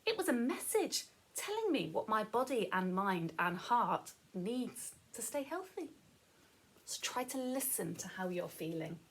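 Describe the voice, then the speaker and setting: A woman's voice: mid-range voice, big pitch swings, measured pace, husky. A woman reading a story aloud to young children, playful and encouraging, often putting questions to the listener. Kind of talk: animated read-aloud with commentary for young children.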